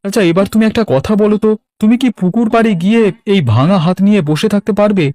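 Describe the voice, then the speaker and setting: A man's voice: high-pitched, fast, mellow, no echo, natural voice. A man voicing an eager, helpful village labourer in a cartoon, with a reassuring, deferential manner. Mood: friendly, reassuring. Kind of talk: animated story voice acting.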